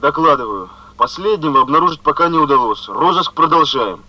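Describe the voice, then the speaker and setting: An actor playing a brisk police major giving clipped official reports over the telephone in a radio drama. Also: male voice, fairly high pitch, animated, no room sound, muffled recording. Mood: brisk, surprised. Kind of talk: 1970s Soviet radio drama acting.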